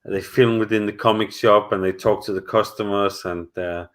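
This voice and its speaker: A middle-aged man with a fairly low voice, chatting casually from home during a live stream. He rambles, hesitates, and restarts his sentences often, filling gaps with "uh" and "um" as he answers viewers. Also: steady tone, normal speed. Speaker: channel host answering live chat.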